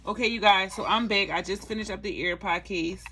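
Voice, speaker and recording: A woman's voice: mid-range voice, steady tone, fairly quick, bright, forceful tone, a little hiss or hum. A young woman chatting casually through a craft how-to vlog, talking to her viewers with lots of fillers. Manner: chatty, many fillers, addresses viewers as "you guys". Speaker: craft vlogger.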